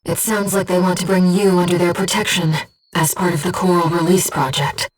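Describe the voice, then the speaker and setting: A woman voicing a calm, devoted, disembodied female guide in a video game, speaking softly and precisely as she supports a pilot on missions. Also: lowish voice, distant mic, soft background music, natural voice, low-quality recording. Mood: tender, earnest. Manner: measured, precise, earnest. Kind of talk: video game voice acting, tactical briefings.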